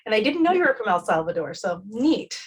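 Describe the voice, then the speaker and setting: A woman's voice: medium pitch, very animated, fairly quick, husky. A woman hosting a podcast, tired, who reads the guest introduction from a script and chats in a friendly way. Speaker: podcast host.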